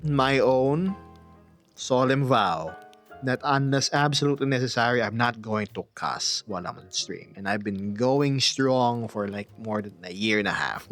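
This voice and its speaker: Soft-spoken man streamer. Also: mid-range voice, animated, faint music behind. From Philippines. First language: Tagalog. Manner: soft-spoken, drops into whispers, measured and dry. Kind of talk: casual chat with viewers.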